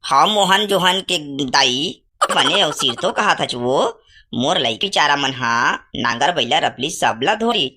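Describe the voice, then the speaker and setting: Woman acting a low, sharp-toned grumbling village father. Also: expressive, a little slow, heavily acted. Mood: coaxing. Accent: Chhattisgarhi. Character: grumbling village father, devout farmer, easily irritated. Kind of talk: comedy cartoon dialogue.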